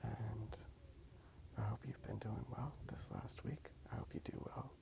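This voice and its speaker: A man speaking softly in a casual, rambling ASMR style as he draws. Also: on the low side, fairly even intonation, unhurried, vocal fry. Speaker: ASMR video creator. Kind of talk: whispered ASMR rambling while drawing.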